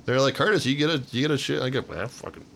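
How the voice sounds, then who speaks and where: A man with a deep voice in a casual chat, telling stories in a rambling, jokey way and swearing freely. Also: quick pace, vocal fry. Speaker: interview guest. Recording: noisy recording with low rumble.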